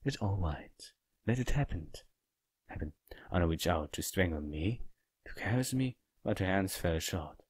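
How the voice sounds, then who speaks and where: A man reading a visual novel as a cold, calm young male killer, quiet, dramatic and subdued. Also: deep voice, moderate pace, husky, no room sound. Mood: cold, resigned.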